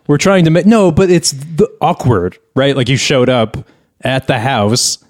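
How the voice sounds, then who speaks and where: A man's voice: mid-range voice, measured pace. Grumbling male podcast host. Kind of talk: casual comedic podcast banter.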